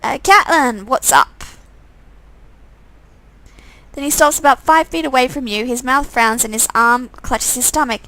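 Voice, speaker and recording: Woman game streamer, high bright voice, expressive storytelling with casual asides. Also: brisk, hardly any pauses, dry recording, rough recording. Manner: expressive, breaks off to comment casually. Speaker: streamer reading a visual novel game aloud. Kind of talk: dramatic narration with off-the-cuff asides.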